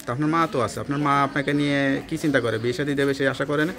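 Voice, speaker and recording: A man's voice: mid-range voice, steady tone, very fast, music in the background, a crowd in the background. A man with a Bangladeshi accent conducting an informal interview as the presenter.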